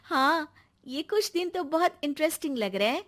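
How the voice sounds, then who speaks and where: A woman's voice: high-pitched, expressive, moderate pace, a bit bright, pitched above the performer's own voice. A woman voicing a wide-eyed, cheeky young daughter-in-law in a story, with dramatic exclamations and incredulous quips. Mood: amazed, amused.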